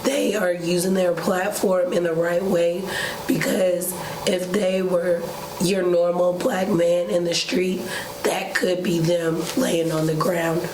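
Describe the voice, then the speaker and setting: A woman's voice: lowish voice, flat delivery, takes it slowly. A young woman co-hosting a vlog speaks sincerely and solemnly, hesitating, with a voice she is trying not to strain. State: unwell, saving a strained voice. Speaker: vlog co-host. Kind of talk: sincere casual talk to viewers. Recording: echoey room with clear background noise.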